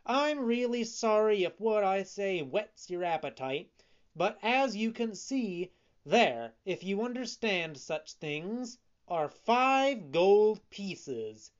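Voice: medium pitch, sing-song, slow pace, muffled recording, pitched above the performer's own voice. A narrator voicing a naive, kind-hearted wooden puppet boy, eager and earnest, in a storybook reading. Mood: indignant.

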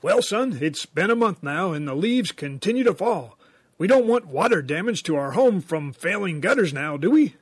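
A man's voice: high voice, lively intonation, normal speed, breathy edge, no echo. A man playing an earnest, bumbling handyman dad in a comedy radio sketch, sheepish and hesitant when explaining himself. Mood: upbeat, earnest.

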